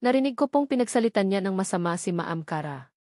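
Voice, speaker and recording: A woman's voice: mid-range voice, expressive, quick pace, dark tone, no room sound, clean studio sound, natural voice. A woman voicing a distressed young female secretary who pleads and explains earnestly, as in a dramatised novel reading. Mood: pleading, indignant.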